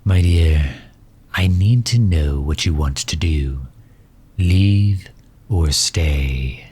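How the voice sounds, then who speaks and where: A man playing a courtly megalomaniac villain in an ASMR audio drama, speaking formally and with measured, gentle solicitude. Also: heavily acted. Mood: calm, persuasive. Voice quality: husky, low. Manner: formal, measured, silky endearments.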